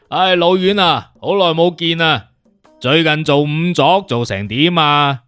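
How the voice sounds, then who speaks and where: Performer voicing a cocky male detective, animated, higher-pitched. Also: takes it slowly, clear, resonant tone, dry recording, faint music behind. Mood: jovial. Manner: swaggering, slangy, mocking. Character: cocky, teasing male detective consultant, clever and bossy.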